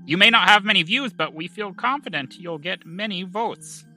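Male actor for a pretentious, earnest local historian in audio comedy; piercingly bright, slightly high voice, stammering and politely superior. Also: moderate pace, soft background music. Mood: stagey, persuasive. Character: earnest small-town historian with dramatic pretensions. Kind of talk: comedic audio drama dialogue.

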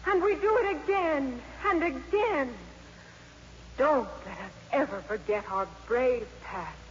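A woman's voice: high voice, slow. An actress playing an earnest, impassioned teenage schoolgirl debater in 1950s radio drama, with an emphatic delivery. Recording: dull, noisy old radio broadcast, hall reverb.

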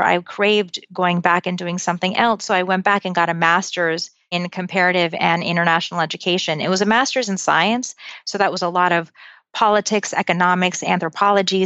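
A woman's voice: lowish voice, fairly bright tone, no echo. A woman in her forties, a guest author, answering interview questions with methodical, date-by-date storytelling. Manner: methodical, dates-driven storytelling. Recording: dull lo-fi audio.

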